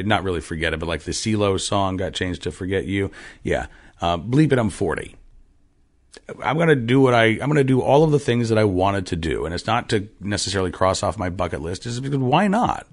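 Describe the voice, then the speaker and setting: A man in his forties hosting his own podcast, talking casually with lots of fillers and restarts. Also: low voice. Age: 40s. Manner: rambling, frequent fillers and restarts. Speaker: podcast host. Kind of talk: casual monologue. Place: farm.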